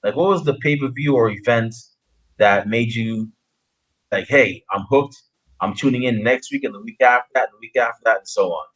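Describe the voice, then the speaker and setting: A man from Guyana hosting a casual interview, speaking haltingly and often repeating phrases as he goes. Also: medium pitch, a bit bright, rough recording. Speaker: podcast interviewer. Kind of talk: casual chat.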